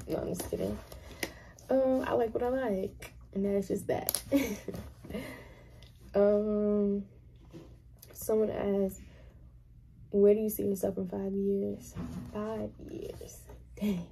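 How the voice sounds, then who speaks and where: A woman's voice: mid-range voice, fairly even intonation, takes it slowly, vocal fry, long pauses, dark tone, a little hiss or hum. A young woman of about twenty, a college student, chatting casually to camera in a small bedroom, rambling with lots of fillers and restarts. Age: young adult.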